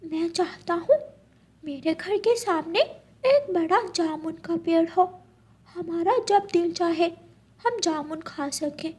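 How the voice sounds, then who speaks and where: A woman's voice: very high-pitched, slow pace, a little mellow, voice pushed up. A woman voicing an innocent, wishful little boy bird in a cartoon, talking in a childlike way. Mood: hopeful. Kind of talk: Hindi cartoon story dubbing.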